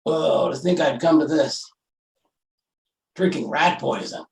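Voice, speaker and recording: A man playing a wry, groggy newspaperman in old-time radio style, with a creaky voice and dry, teasing understatement. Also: on the high side, slow, darkish tone, roomy sound. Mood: groggy, weak.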